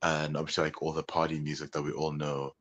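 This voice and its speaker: A man's voice: extremely low pitch, monotone, quick pace, fairly bright tone. A man being interviewed as a musician guest, talking in a meandering, hesitant way with many fillers. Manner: meandering, hesitant, full of "like" and "you know". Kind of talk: casual interview talk.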